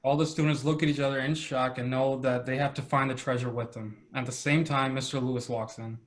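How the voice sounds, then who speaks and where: A man's voice: mid-range voice, steady tone, brisk. A man presenting and reading scripted narration for a script read-through. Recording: roomy echo.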